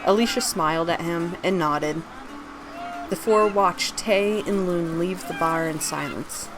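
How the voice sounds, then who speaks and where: A woman with a slightly low voice narrates a fantasy story. She reads scripted prose in the role of author and storyteller, with music clearly playing behind her. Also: unhurried, poor audio. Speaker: author reading own fantasy story aloud.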